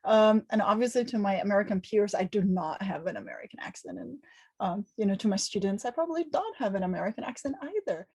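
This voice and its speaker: A woman's voice: mid-range voice, expressive, quick pace, dark tone, breathy edge. A woman from Italy, a native Italian speaker, who answers interview questions thoughtfully, with restarts and fillers. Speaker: university lecturer interviewee.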